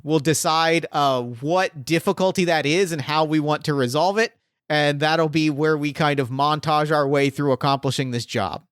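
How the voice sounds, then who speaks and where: A man's voice: fairly high pitch, fairly even intonation, normal speed, a bit bright, clear, resonant tone, dry recording, studio quality. A man narrating a tabletop game as game master, rambling and thinking aloud with plenty of fillers.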